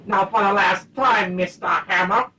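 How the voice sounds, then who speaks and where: A woman's voice: medium pitch, unhurried, bright, forceful tone. An actress playing a coldly threatening, taunting female interrogator in a comic radio play. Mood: taunting menace. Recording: distant mic, faint background noise.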